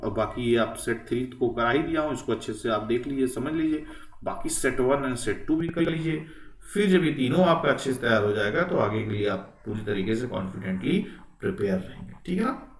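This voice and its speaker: Echoey distant recording of a guy teaching quiz questions, asks then answers, keeps checking in. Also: medium pitch. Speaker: history teacher for exam preparation. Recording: echoey room, distant microphone.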